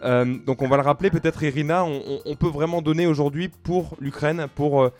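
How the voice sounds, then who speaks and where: A man's voice: a little high, steady tone, fairly quick, a little mellow, faint music behind. A man hosting a radio interview, asking questions with many filler sounds and hesitations. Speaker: radio host.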